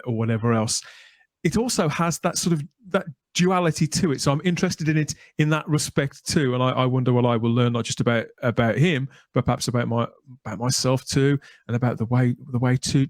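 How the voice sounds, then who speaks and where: A middle-aged man hosts a conversational podcast interview. He talks at length in a reflective way, in an average register, and the sound is dry with no room echo. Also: mid-range voice. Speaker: podcast host.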